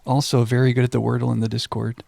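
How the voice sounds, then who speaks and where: Mellow, creaky-voiced male game streamer. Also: mid-range voice, level tone, quick pace, dry recording. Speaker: livestream game host. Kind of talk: casual chat with viewers, reading game text aloud.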